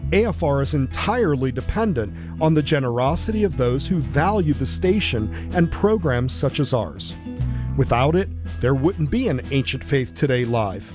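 A man, a priest and radio host, reading a measured, earnest donation appeal for his station. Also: fairly high pitch. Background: guitar music.

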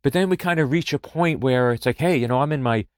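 Guy hosting a podcast, gentle and reflective, keeps saying "you know", dry close mic. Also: mid-range voice, quick pace, dry recording. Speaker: podcast host. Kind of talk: reflective spoken talk.

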